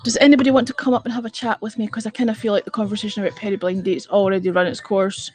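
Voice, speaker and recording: A woman's voice: mid-range voice, little pitch movement, racing, darkish tone. A woman from Edinburgh with a Scottish accent, hosting a casual live stream and chatting bluntly and exasperatedly with viewers, swearing freely. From Scotland. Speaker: livestream host.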